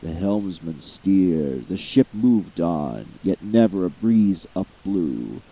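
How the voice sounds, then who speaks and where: A man with a very deep, husky voice reads old verse aloud, slowly and with drama. His pitch rises and falls widely as he leans on repeated words. The recording is dull and lo-fi. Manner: expressive, emphatic, lingering on repeated words. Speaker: amateur voice actor reading a poem. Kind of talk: dramatic poetry reading.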